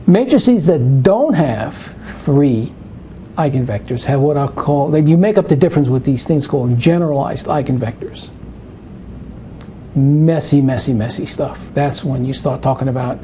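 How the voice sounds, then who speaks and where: A man's voice: medium pitch, animated, measured pace, warm tone, slight background noise. An older man with a New York accent answering questions in a live math webinar, rambling into anecdotes and restarting his sentences. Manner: rambling, anecdotal, self-interrupting. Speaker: math presenter.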